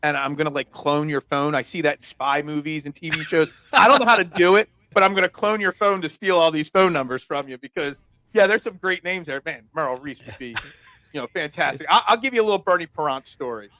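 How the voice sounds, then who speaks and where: Male sports-show host; loud, talkative, bright-toned, dry recording. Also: fairly high pitch, expressive, fairly quick, no room sound. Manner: chatty, rambling storyteller with many restarts. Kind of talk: casual sports talk interview.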